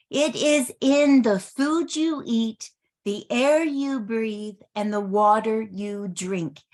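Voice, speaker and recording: A woman in her early sixties presents health products in a motivational style. Her voice sits a little high and she speaks slowly and deliberately. She leans on key words and repeats phrases for emphasis. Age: early 60s.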